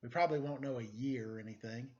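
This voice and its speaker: A man's voice: mid-range voice, quick pace, a little mellow, muffled recording. A middle-aged man reading a story aloud for children in a playful, quizzing manner. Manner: playful, quizzing young listeners. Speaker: story-time teacher reading a picture book aloud.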